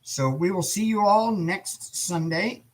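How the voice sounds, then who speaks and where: A man with a hoarse, worn voice hosting a casual live chat stream, relaxed and talkative. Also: sits high, slow pace. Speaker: livestream host.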